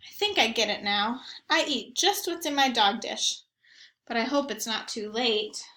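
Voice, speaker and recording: A woman voicing an eager, naive, mischievous young dog telling his own picture-book story in an expressive, earnest way. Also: a little high, a little slow, roomy sound. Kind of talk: first-person picture book narration.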